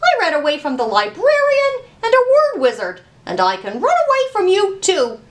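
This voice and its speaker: Woman voicing a high, cheeky little gingerbread man. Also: huge pitch swings, normal speed, full character voice. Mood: gleeful taunting. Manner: taunting, chanting. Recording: roomy, distant mic, faint noise.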